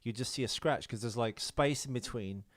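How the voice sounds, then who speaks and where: Man, mid-pitched voice, podcast co-host, casual film commentary, explanatory delivery. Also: normal speed. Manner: explanatory, reading out film continuity errors.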